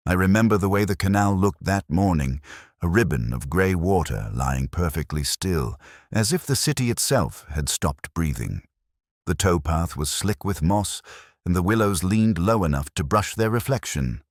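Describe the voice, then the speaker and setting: A middle-aged man narrating a first-person detective story in a brooding, measured, literary way. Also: low voice, lively intonation, no room sound, studio quality.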